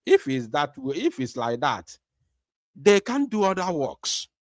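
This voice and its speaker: A man's voice: fairly high pitch, huge pitch swings, unhurried, no room sound. Emphatic Nigerian man, dry close sound. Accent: Nigerian English. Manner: emphatic, repeats phrases, frequent filler hesitations. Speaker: online channel host. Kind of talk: commentary monologue to viewers.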